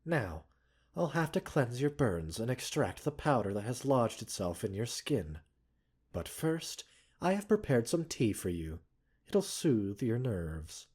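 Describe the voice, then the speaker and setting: A man playing a gentle, composed pharmacist doctor in an ASMR roleplay, speaking softly and soothingly in polite, formal phrases with mild chiding and teasing. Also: mid-range voice, big pitch swings, unhurried, slightly rough. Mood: soothing, attentive. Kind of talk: ASMR roleplay audio drama.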